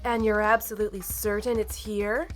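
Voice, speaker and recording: A woman's voice: medium pitch, level tone, normal speed, faint music behind, natural voice. A woman playing a sassy, self-assured female bat treasure hunter in an audio drama, with a sceptical manner. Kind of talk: fan audio drama acting.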